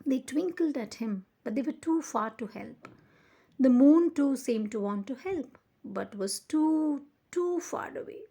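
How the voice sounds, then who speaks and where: Slow, high-voiced woman reading a children's story. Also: animated, dark tone, slightly rough. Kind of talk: expressive read-aloud of a children's picture book.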